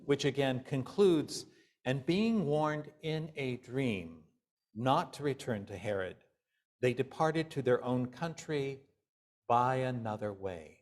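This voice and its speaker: A male minister delivering a sermon in deliberate, measured phrases with pauses. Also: medium pitch, lively intonation, a little mellow.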